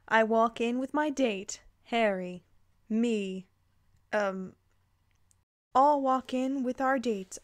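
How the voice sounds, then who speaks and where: Higher-voiced woman reading aloud with playful asides. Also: no room sound, clean studio sound. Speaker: commentator reading fan fiction aloud. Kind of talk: dramatic read-aloud with casual asides.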